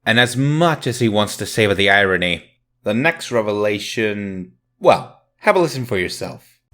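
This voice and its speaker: A man's voice: fairly low pitch, expressive, moderate pace, a bit bright. A man hosting a review channel, giving casual scripted commentary in a jokey, irreverent, slangy manner. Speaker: host of an audio drama review channel.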